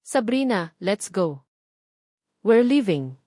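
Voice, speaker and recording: A woman's voice: mid-range voice, very animated, slow, dark tone, dry recording, studio quality. A woman voicing a wealthy young man in a Tagalog romance story, cold, scornful and bitterly accusing, with an authoritative manner. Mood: cold, final.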